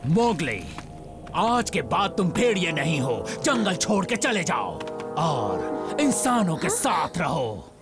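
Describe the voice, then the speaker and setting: Young wolf rival, cartoon: high voice, slow, haughty, music behind. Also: male voice, wildly swooping pitch, full character voice. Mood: cocky, scornful. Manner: cocky, scornful. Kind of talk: dubbed cartoon voice acting.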